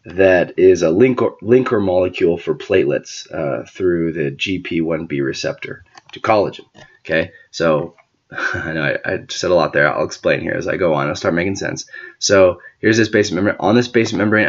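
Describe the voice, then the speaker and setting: A man who is sick and losing his voice gives an informal whiteboard lecture, often clearing his throat and using filler words. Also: deep voice, lo-fi sound. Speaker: teacher explaining a medical topic.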